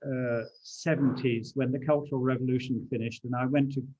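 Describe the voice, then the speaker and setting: A man in his seventies who grew up in China, recalling his life in relaxed talk with many fillers and restarts over a video call. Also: mid-range voice, brisk, highs cut off, a little hiss or hum. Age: 70s. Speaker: guest speaker. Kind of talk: relaxed conversational recollection.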